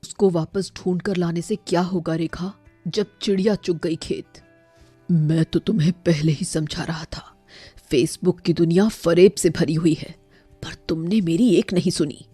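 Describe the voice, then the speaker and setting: A woman's voice: lowish voice, fairly even intonation, unhurried, dark tone, breathy edge, no echo, soft background music, voice pushed down. A woman voicing an exasperated working husband who scolds and lectures in a storybook tale. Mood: reproachful. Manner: reproachful, lecturing.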